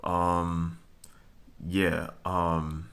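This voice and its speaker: A young man from Brooklyn talks straight to his viewers. His voice sits very low but has a bright, forceful edge. He rambles, stops and restarts, and keeps checking in with "okay?" as he chuckles between points. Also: level tone. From Brooklyn, New York. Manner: halting, many fillers and restarts, addresses viewers with "okay?". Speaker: vlogger. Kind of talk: rambling solo monologue.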